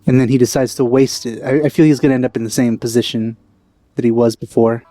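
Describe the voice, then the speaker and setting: A man's voice: mid-range voice, fairly even intonation, quick pace, dark tone. A man giving casual let's-play commentary in wry, musing asides. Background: game music.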